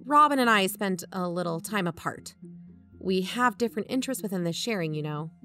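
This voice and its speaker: A woman's voice: mid-range voice, lively intonation, fairly quick, sharp tone. A woman playing an earnest, dry-humoured investigator who co-hosts a podcast, narrating in a plain-spoken, conversational way. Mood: reflective. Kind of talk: conversational scripted audio drama narration. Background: background music.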